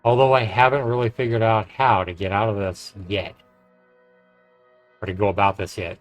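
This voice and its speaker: A man streaming a visual novel, reading its narration aloud in a measured storytelling way with casual commentary. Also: on the low side, flat delivery, no echo. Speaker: game streamer. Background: game music.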